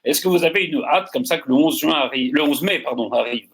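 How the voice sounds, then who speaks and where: A man hosting a French talk show panel, asking guests questions in a lively, halting way. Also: on the high side, husky, rough recording. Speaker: host. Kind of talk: panel discussion by video call.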